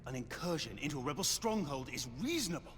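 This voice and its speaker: An actor playing a guarded, dutiful knight commander, formal and restrained in a game cutscene. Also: male voice, a little high, very animated, fast, fairly bright tone. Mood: stern. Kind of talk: dramatic video game cutscene acting. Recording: echoing hall, faint background noise.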